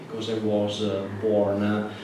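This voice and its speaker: A man with an Italian accent, a native Italian speaker, giving a classroom lecture in a halting way with many fillers and restarts. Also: lowish voice, completely flat delivery. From Italy. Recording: echoey hall from far off with noise.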